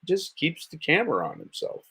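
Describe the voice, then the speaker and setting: A man's voice: on the high side, huge pitch swings, moderate pace, sharp tone, slightly rough. An American man hosting a casual livestream, giving blunt, mocking, sweary reaction commentary. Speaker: host of a livestream commentary show.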